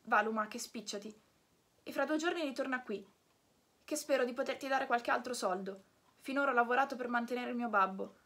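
An eager wooden puppet boy , put on by a woman reading aloud, speaks in a high, breathy voice. He rushes from one question to the next, full of warm-hearted urgency, as in a storybook. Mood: determined.

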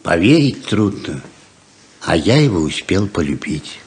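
Very animated kindly old rancher. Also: male voice, sits low, a little slow. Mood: wondering. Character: kindly, fatherly old rancher, head of the family. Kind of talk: 1970s Soviet radio drama acting.

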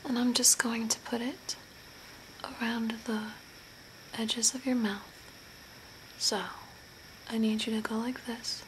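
Woman as a slow, whispering, eerie zombie makeup artist. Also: on the high side, little pitch movement. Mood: calm, eerie. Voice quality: soft breathy whisper. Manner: slow, deliberate, gently instructing. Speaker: ASMR host. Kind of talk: whispered ASMR role play. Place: small room at home by a window. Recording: noisy home recording, background hiss. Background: clear background noise.

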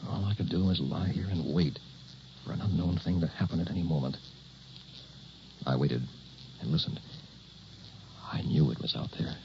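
Deep-voiced suspense narrator Dick, muffled recording. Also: male voice, a little mellow, slight background noise. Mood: hushed dread. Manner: suspenseful, deliberate storytelling. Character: Dick, young man narrating his eerie weekend. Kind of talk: 1960s radio drama narration. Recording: muffled old radio recording.

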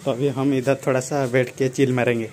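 A young man talks through his day in a relaxed, rambling way into a handheld phone. His delivery stays flat and even. Faint background noise sits behind the voice. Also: medium pitch, fairly quick. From Odisha, India. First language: Odia. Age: young adult. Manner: relaxed, rambling, many fillers. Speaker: vlogger. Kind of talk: casual daily vlog narration. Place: small village shop and outdoors. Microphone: phone camera at arm's length.

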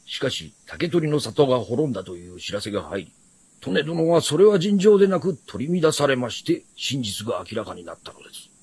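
A stern, close-miked shadow retainer. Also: male voice, medium pitch, sing-song, a little fast, darkish tone, husky, no room sound. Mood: solemn, formal. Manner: formal, archaic, deferential yet haughty speech. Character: formal, stern adult shadow retainer of a noble house. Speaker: radio drama actor. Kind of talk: Japanese period fantasy radio drama acting.

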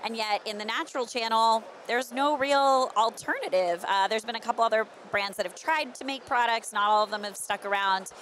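A woman's voice: a little high, fairly quick, hardly any pauses, fairly bright tone. A woman in her thirties interviewing on camera with a handheld microphone, in a conversational presenter's manner. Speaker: editor and interviewer. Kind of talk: on-camera trade show interview. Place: busy trade show booth indoors. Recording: faint convention hall background noise.

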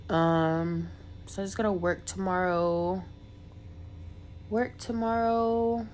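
A woman's voice: lowish voice, a little mellow, a little hiss or hum. A young woman, congested from a cold, rambling casually in a vlog with lots of fillers. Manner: rambling, filler-heavy, chatty. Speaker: vlogger.

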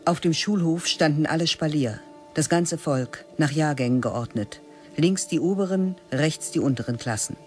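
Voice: medium pitch, normal speed, faint music behind. A narrator telling a radio drama in terse, matter-of-fact sentences. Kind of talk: scripted narration.

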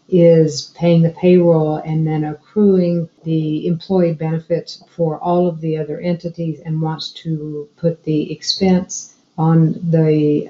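A woman explaining software step by step as a trainer narrating a screencast tutorial. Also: deep voice. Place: indoor room, screen recording voice-over. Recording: dull, roomy sound.

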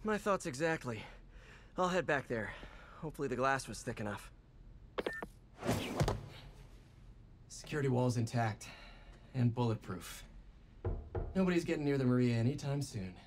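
A man's voice: mid-range voice, expressive, a little fast, background noise, natural voice. An American man in young adulthood playing an earnest, wisecracking masked superhero in a video game, flustered and quippy. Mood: matter-of-fact. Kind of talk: video game cutscene acting.

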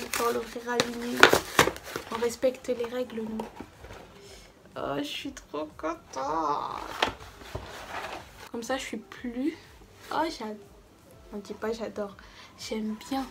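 High-voiced, unhurried young woman vlogging. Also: creaky. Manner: effusive, repeats words for emphasis. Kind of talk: casual birthday vlog chat to camera. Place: small bedroom, some clips out in the street. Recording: noisy amateur camera audio.

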